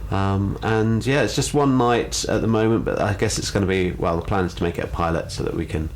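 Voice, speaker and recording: A man's voice: fairly low pitch, a little fast. A man speaking as a theatre company co-founder and radio guest, chatting casually and enthusiastically with hesitant, wandering phrasing. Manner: enthusiastic, hesitant phrasing with many hedged asides. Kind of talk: casual radio interview chat. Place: radio studio. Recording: clear background noise and ambience.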